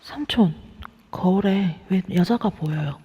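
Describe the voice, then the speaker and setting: A woman playing an excitable young woman in a ghost-hunting group. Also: unhurried, breathy edge. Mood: excited. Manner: excitable, high-strung. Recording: long echoey reverb.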